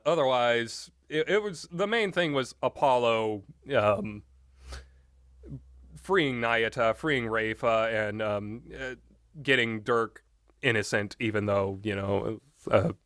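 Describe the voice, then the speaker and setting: A man's voice: medium pitch, takes it slowly, fairly bright tone, clear, resonant tone, no echo, clean studio sound. A man giving casual, rambling and opinionated commentary on video games, often hesitating as he talks. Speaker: video game reviewer.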